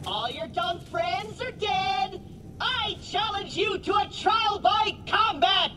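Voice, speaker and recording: A pompous little robot sidekick from a video game. The synthetic voice is extremely high and animated, full of self-important exclamations. It rings with echo, set back from the mic amid clear noise. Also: male voice, slow, far from the mic. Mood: bombastic. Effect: synthetic robot voice processing. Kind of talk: video game character acting.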